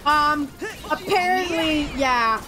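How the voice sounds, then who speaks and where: High-pitched excitable woman game streamer. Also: slow, poor audio. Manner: excitable, theatrical, ranting. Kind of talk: live gameplay commentary and chat. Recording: loud game music behind. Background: video game music and effects.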